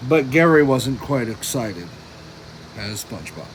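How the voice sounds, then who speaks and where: Man, children's storybook reader, playful, chatty, expressive, swooping intonation, unhurried pace. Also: mid-range voice, slight background noise, rough recording. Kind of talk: animated read-aloud with casual asides.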